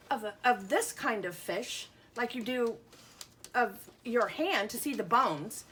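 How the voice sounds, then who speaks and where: A woman's voice: a little high, a bit bright, a little hiss or hum. A woman teaching young children, reading aloud and explaining simply, with questions and carefully sounded-out words. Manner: sounds out letters and words with emphasis. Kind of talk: children's lesson and picture-book read-aloud.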